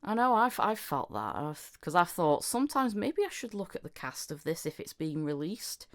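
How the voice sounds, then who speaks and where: A woman hosting a casual podcast, her voice sitting on the low side. She talks in a lively way, laughs often, and slips into little acted-out quotes. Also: moderate pace. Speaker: podcast host.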